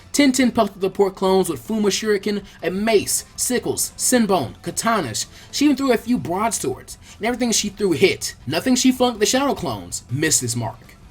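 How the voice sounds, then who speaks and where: An audiobook narrator reads scripted action fiction in a dramatic storytelling style. The voice sits at a medium pitch, with music clearly playing underneath. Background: background music.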